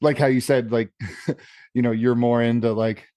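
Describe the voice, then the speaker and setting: A man's voice: medium pitch, steady tone, moderate pace, mellow, dry recording, clean studio sound. A man co-hosting a podcast, talking casually and searching for his words as he reasons. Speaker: podcast co-host. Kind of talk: casual chat.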